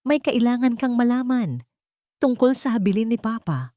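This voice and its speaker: A woman's voice: on the high side, lively intonation, moderate pace, mellow, no echo, studio quality, pitched above the performer's own voice, close to an everyday voice. A woman voicing a serious, authoritative brother in an audio story, grave and commanding.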